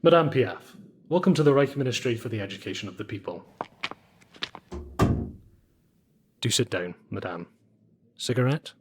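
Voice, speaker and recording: A man playing a Nazi official in an audio drama, formal and insinuating, with quiet menace under his courtesy. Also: mid-range voice, sing-song, fairly quick, noisy background, lightly acted. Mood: coolly courteous.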